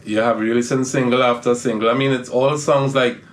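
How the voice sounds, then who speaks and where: A man's voice: mid-range voice, steady tone, fairly quick, a little hiss or hum. A man hosting a casual podcast interview, encouraging and often affirming with "right". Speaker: podcast host.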